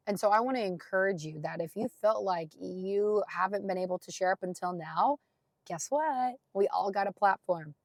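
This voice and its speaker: A young adult woman with a deep raspy voice hosts her own podcast, talking earnestly and emphatically to encourage listeners, with casual fillers like "like" and "gosh". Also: no room sound. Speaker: podcast host. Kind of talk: motivational solo podcast talk.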